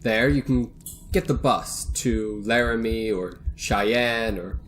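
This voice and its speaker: An actor playing a cold, commanding villain in an old-time radio thriller, speaking deliberately. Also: male voice, lowish voice, fairly bright tone, slight background noise. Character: cold, menacing male villain holding captives at gunpoint. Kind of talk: 1930s-style radio thriller acting.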